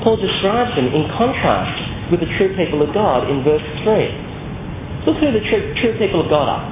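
A man's voice: high voice, very animated, normal speed. A man preaching an expository sermon, emphatic and repeating phrases for effect. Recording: narrow phone-like sound, echoey room, loud noise.

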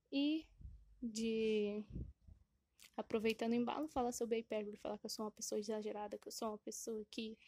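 A woman's voice: fairly high pitch, mellow. A woman hosting a casual solo podcast, rambling and correcting herself with lots of fillers, often laughing at herself. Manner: self-deprecating and laughing at herself. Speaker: podcast host.

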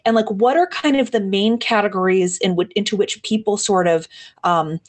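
A woman's voice: mid-range voice, moderate pace. A woman co-hosting a podcast, curious and asking probing follow-up questions in a casual way. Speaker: podcast co-host.

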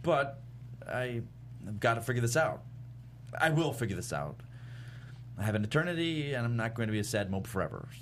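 A man's voice: medium pitch, very animated, fairly quick, long pauses, fairly bright tone. Mopey stammering young male vampire played by an actor. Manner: stammering, self-correcting, sullen. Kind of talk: comedy pilot table read. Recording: faint background room noise.

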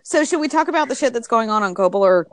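A woman co-hosting a casual chat podcast who laughs loudly and often and talks with animated energy. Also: a little high, quick pace, slight background noise. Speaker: podcast co-host.

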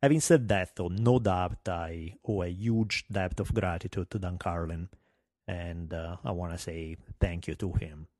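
Podcast host, middle-aged man, thick Italian accent, fairly low voice, wry and self-deprecating. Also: fairly even intonation, a little slow, a little mellow, no echo, studio quality. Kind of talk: solo podcast monologue.

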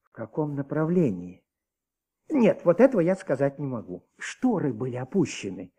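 An anxious, sleepless husband giving witness in a Soviet radio drama. The voice is high and mellow, swinging widely in pitch. He is talkative and fussy, hesitating and repeating himself. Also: huge pitch swings, moderate pace, slightly rough, full character voice. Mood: hesitant, earnest.

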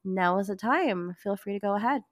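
A woman hosting a casual podcast, her voice hoarse and raspy from a cold, bubbly and gushing as she talks. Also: mid-range voice, lively intonation, a little fast, no echo, clean studio sound. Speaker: podcast host.

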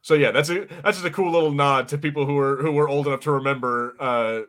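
A man's voice: on the high side, quick pace, a bit bright. Casual, chatty man co-hosting a podcast. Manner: chatty, peppered with swearing and slang. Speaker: podcast co-host. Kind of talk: casual watch-party commentary.

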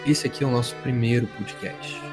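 A twenty-year-old man hosts a podcast from home with a playful, teasing manner. His voice sits at an ordinary middle pitch for a man. Faint music plays behind him. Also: steady tone, brisk, darkish tone. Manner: joking, teasing. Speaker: podcast host. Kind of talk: casual podcast chat.

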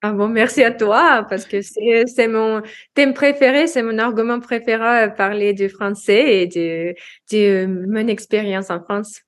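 A woman's voice: on the high side, unhurried. A woman in her thirties, a native Russian speaker with a Russian accent, talking as a guest in a casual interview, hesitant and full of fillers and restarts. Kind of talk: casual interview chat in French.

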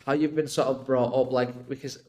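A man chats on a podcast. His voice sits at an ordinary pitch, and he explains things in a loose, emphatic, rambling way, tagging points with little check-ins. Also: steady tone, brisk, darkish tone. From Iraq until age eight, then UK. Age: 20s. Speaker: podcast guest. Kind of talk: casual podcast conversation.

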